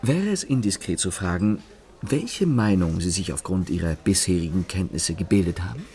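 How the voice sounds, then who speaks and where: Gentleman thief for radio drama: low-pitched, swooping delivery, suave, theatrical, amused and ironically polite. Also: expressive, normal speed. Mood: teasing. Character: suave, teasing French gentleman thief, master of disguise. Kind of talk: German radio play acting.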